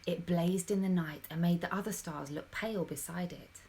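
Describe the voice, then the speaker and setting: Female storyteller, lowish voice, animated and dramatic children's reading, speaks straight to the young audience. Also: normal speed, a little hiss or hum. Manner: animated, dramatic, addressing young listeners directly. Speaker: storybook reader.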